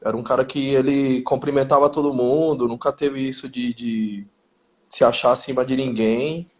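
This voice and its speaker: A man in his forties, born in Chile, answering interview questions in a relaxed way with street slang and frequent restarts. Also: medium pitch, moderate pace. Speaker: interview guest. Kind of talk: casual interview chat.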